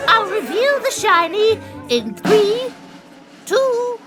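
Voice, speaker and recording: A woman's voice: very high voice, sing-song, slow, loud music behind the voice, over a noisy crowd, heavily acted. A woman voicing a fussy, grandiose flying squirrel leader in a theatrical, ceremonial way for a children's audio drama. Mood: grand, ceremonial.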